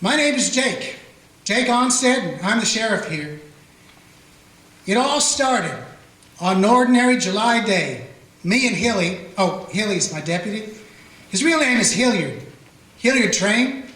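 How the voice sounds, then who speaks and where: A man's voice: sits high, animated, measured pace, pauses often, a bit bright. A man playing a folksy small-town frontier sheriff who narrates in a drawling, plainspoken way. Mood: reminiscing. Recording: roomy, echoey room.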